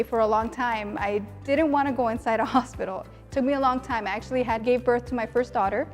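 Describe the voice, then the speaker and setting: A young adult woman, a nurse, speaking in a heartfelt, personal way in an interview. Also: fairly high pitch. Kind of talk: interview testimony.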